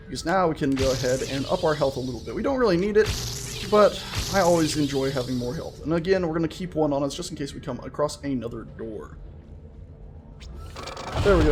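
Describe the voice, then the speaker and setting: A man's voice: on the high side, a little mellow. A man hosting a gaming video with casual, chatty, playful and enthusiastic let's-play commentary. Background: game music.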